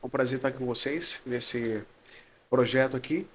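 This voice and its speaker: A young man with a Maranhão accent tinged with São Paulo speech, a guest on a podcast telling his story in a friendly, relaxed way and laughing often. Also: medium pitch, normal speed, slight background noise. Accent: Maranhão Brazilian Portuguese, mixed with São Paulo. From São Luís, Maranhão, Brazil. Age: young adult. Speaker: guest giving testimony.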